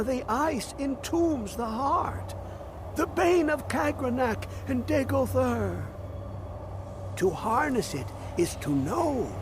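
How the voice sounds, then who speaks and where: An actor playing a mad, rambling old hermit scholar in a fantasy video game, singsong, erratic and cryptic. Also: male voice, extremely high pitch, heavily acted. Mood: rapturous, cryptic. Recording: clear background game ambience noise.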